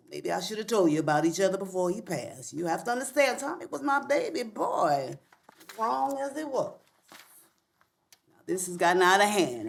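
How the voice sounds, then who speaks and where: An American woman playing a blunt, sharp-tongued older grandmother and family matriarch in a live comedy script reading. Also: medium pitch, creaky. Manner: blunt, sassy, theatrical. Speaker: actor in a live sitcom table read.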